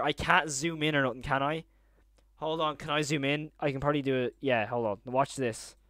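A man's voice: on the high side, bright, forceful tone, dry recording. A young adult man reacting to a video in a casual, enthusiastic, slangy way, talking close into a microphone in a bedroom studio. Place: small bedroom studio, indoors. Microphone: close boom-arm microphone.

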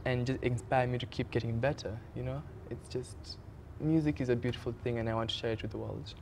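A man's voice: medium pitch, steady tone, brisk, vocal fry, darkish tone, slight background noise. Young man, from Kenya, singing contestant, upbeat and chatty, outdoor garden, faint ambience. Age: young adult. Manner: upbeat, chatty, laughs easily. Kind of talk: casual interview answers.

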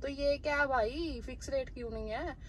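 A high-pitched woman with an Indian accent talks in a chatty, playful way, like a casual travel vlogger. Clear car and road noise sits around her voice. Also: moderate pace. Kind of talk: casual vlog chat mixing Hindi and English. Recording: noisy car and road sounds.

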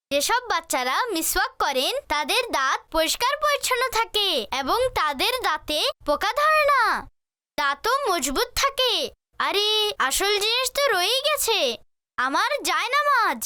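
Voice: very high-pitched, big pitch swings, moderate pace, sharp tone, dry recording, studio quality, heavily acted. A performer voicing a cheerful, eager little boy in a children's cartoon, exclaiming and instructing young viewers enthusiastically. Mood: eager, instructive.

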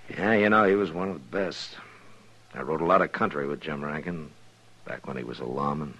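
A deep, grave frontier marshal. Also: male voice, sharp tone, husky, heavily acted. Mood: steady. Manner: grave and measured. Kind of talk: 1950s radio drama acting. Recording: dull vintage radio transcription.

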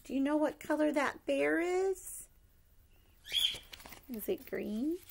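A middle-aged woman reads a rhyming picture book aloud to young children in an expressive sing-song way, pausing to ask them questions. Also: high voice, creaky, noisy background. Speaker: preschool story-time teacher reading aloud. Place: living room at home, indoors.

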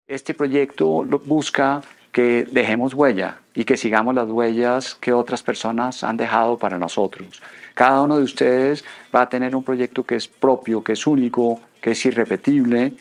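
A man's voice: mid-range voice, fairly even intonation, moderate pace. A man in his fifties with a Colombian accent, giving an address to students in an informal but prepared way. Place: small office indoors.

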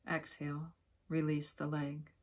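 A woman guiding a yoga nidra relaxation in a soft, breathy, soothing voice, giving gentle instructions with long pauses. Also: low-pitched, monotone. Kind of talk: guided relaxation meditation.

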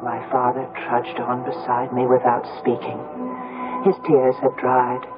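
An actress playing a reflective, grieving grown daughter who tells her story in measured, literary style in a radio drama. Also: a bass voice, monotone. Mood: wistful. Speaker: lead actress and narrator. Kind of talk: 1970s radio drama acting. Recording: muffled phone-like old radio recording, music.

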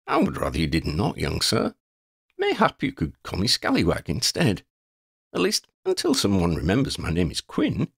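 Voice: deep voice, wildly swooping pitch, normal speed, vocal fry, breathy edge, no echo, studio quality, exaggerated voice. A man voicing a gangly, muddle-headed wandering vagabond in a storybook, with quaint old-fashioned phrasing and a rambling, easily distracted manner. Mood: musing.

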